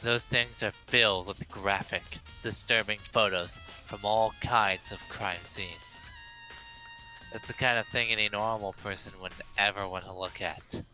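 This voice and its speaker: A man's voice: fairly low pitch, steady tone, a little slow, pushed and bright, soft background music, poor audio. A man playing a haughty, cold young man for a game dub, with an imperious, clipped and superior manner. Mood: lofty, explanatory.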